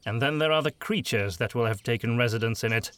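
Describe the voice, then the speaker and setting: A man's voice: mid-range voice, fast talker, a bit bright, no echo, lightly acted. A man voicing a domineering male expedition leader who proclaims warnings. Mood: ominous. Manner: proclaiming, commanding.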